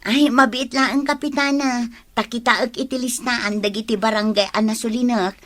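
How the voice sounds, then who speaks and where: A woman's voice: a little high, fairly even intonation, moderate pace, lightly acted. A woman with an Ilocano accent playing the assured madam of a village charity in a radio comedy drama. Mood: businesslike, polite.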